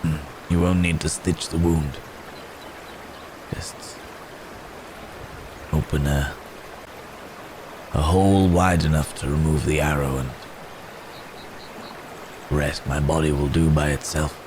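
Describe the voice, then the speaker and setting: Guy doing a super low voiced hurt werewolf, weary n polite, groany halting talk, asmr rp with rainy noise behind. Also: little pitch movement. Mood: weary, grave. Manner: halting, polite, old-fashioned phrasing with groans. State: strained with pain. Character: wounded, weary, courteous male werewolf hunted by men. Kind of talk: intimate ASMR roleplay acting.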